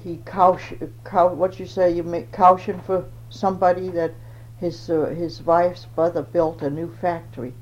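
A woman's voice: fairly low pitch, level tone, measured pace, a little mellow. An older woman from Denmark, recalling her family in an oral history interview and searching for words as she goes. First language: Danish. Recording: dull old tape with faint noise.

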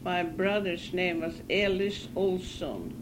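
Slow, halting elderly woman. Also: fairly low pitch. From northern Norway. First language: Norwegian. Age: 90s. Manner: halting, hesitant, self-correcting. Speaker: interviewee. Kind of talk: oral history interview. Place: apartment. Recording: hissy 1980s cassette tape.

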